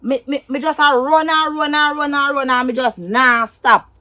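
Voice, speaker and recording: A woman's voice: high voice, steady tone, normal speed, sharp tone. A woman with a Jamaican accent playing a feisty, scornful lover in a drama, scolding and repeating her words for emphasis. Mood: shocked, indignant.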